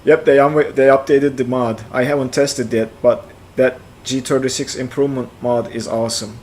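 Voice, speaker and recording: A man in his forties from central Turkey, with a Turkish accent, chatting casually on a flight sim stream, answering chat aloud and thinking out loud. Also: medium pitch, level tone, normal speed. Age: 40s.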